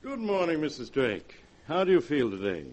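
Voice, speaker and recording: An actor playing a polite, formal family attorney, courteous and measured, in 1940s radio acting. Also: male voice, a little high, big pitch swings, darkish tone, soft background music.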